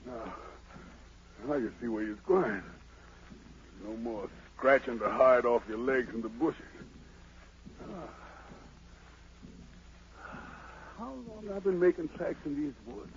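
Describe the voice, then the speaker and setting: An actor playing a frightened, boastful fugitive emperor with a gravelly American voice in 1940s radio drama, theatrically muttering to himself and crying out. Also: male voice, medium pitch, lively intonation, a little slow, long pauses. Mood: weary bravado. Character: fleeing self-made emperor, frightened, boastful, haunted, superstitious fugitive. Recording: noisy, muffled 1940s radio broadcast.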